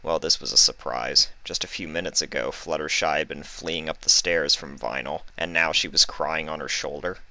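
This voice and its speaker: A man's voice: a bass voice, fairly even intonation, moderate pace, gravelly, few pauses, bright, forceful tone, dry recording. A man reading a story aloud as scripted narration. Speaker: fan fiction reader.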